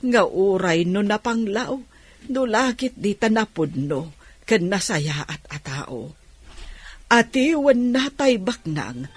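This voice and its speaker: A woman playing an emotional older village aunt in an Ilocano radio drama, husky, with heartfelt sighing exclamations. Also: medium pitch, very animated, slow, full character voice. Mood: touched, delighted.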